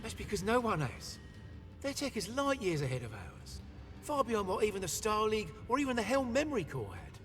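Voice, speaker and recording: An actor playing a practical, tech-savvy mercenary mechanic in a video game, casual and plain-spoken. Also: male voice, high voice, very animated, brisk, fairly bright tone, soft background music. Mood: matter-of-fact. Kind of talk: cinematic game voice acting.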